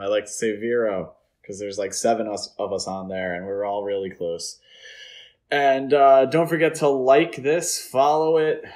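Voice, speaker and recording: A man's voice: mid-range voice, a little mellow. A man hosting a podcast, chatting casually about films in a rambling, opinionated way. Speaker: podcast host. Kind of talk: casual film discussion chat.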